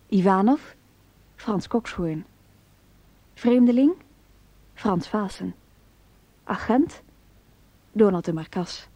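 A woman's voice: medium pitch, warm tone, no echo. A woman reading the scripted credits of a radio play as its announcer.

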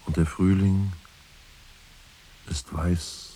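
An actor playing a dreamy reciter of spring verse in a radio drama, speaking in halting, meditative fragments over music. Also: male voice, low voice, little pitch movement, slight background noise. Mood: musing, dreamy. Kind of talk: slow poetic recitation in an experimental radio drama. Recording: loud music bed, roomy echo at times. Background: loud music.

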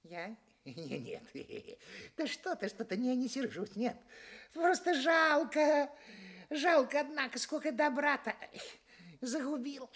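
Shrill, greedy rich master. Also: female voice, expressive, takes it slowly. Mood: feigned calm, rueful. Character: greedy, scheming rich master, male, easily enraged. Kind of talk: audio fairy-tale play acting.

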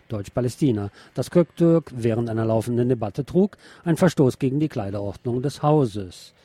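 Husky man reading the radio news. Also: medium pitch, dark tone, dry recording. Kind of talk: reading news reports aloud with brief asides.

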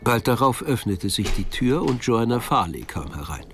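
A man narrating a crime story from a script in a measured storytelling manner. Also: mid-range voice, big pitch swings, slight background noise.

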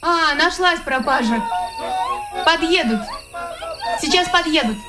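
High-voiced village woman calling out. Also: lively intonation, slow pace, over loud music. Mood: nosy, teasing. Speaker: actress. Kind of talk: radio play acting.

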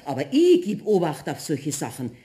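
A woman's voice: low voice, huge pitch swings, moderate pace, warm tone. A woman playing a superstitious, bossy but kindly housewife in a German radio play, chatty and emphatic with a Bavarian accent. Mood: bustling, caring.

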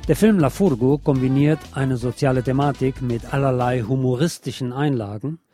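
A man hosts a radio show, delivering a scripted film review. His voice is mellow and unhurried in feel, measured and explanatory. Faint music plays behind him. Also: mid-range voice.

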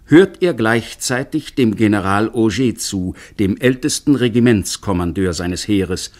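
A man with a low voice narrates an old radio play, measured and descriptive in his telling. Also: darkish tone. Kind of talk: scripted radio drama narration.

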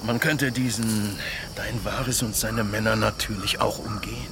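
A man's voice: lowish voice, steady tone, unhurried, noisy background. A man playing a hard, suspicious outlaw captain in a German radio drama, curt, commanding and threatening. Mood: wary, calculating. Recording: music behind the voice.

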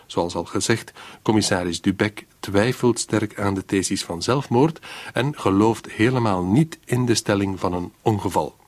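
A man giving a scripted introduction as a radio drama presenter, measured and formal. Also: on the low side, dry recording.

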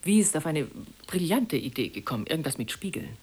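Genteel wife and mother, radio drama; slightly low, very varied melody, soothing yet easily exasperated. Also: big pitch swings, a little fast, a little mellow, husky, full character voice. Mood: tense, curt. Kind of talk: German radio drama acting.

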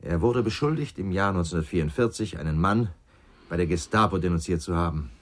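A man's voice: low voice, expressive, brisk. A man playing a middle-aged ex-policeman in a radio drama, speaking in a terse, grave, brooding way. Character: brooding middle-aged male ex-policeman haunted by his father. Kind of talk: naturalistic radio drama acting.

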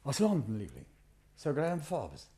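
An actor playing a composed gentleman detective in a 1950s radio play, speaking in a calm, measured and quietly ironic way. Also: medium pitch, noisy background.

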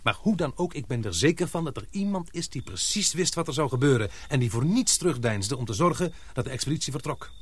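A grave expedition man in a radio play. His voice is a little rough and husky, at a middling pitch. He speaks deliberately and emphatically, as someone suspicious and piecing a plot together. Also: animated, dry recording. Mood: grave, reasoning. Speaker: radio drama actor. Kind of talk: Dutch radio play acting.

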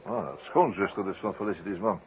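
A low-pitched, shrewd male detective played by a male actor muses with dry irony and deliberate hesitations. It is old radio play acting, with faint noise on the recording. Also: lightly acted, poor audio. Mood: probing, ironic. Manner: hesitant, wordy, drily ironic musing. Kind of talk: 1960s Dutch radio drama acting.

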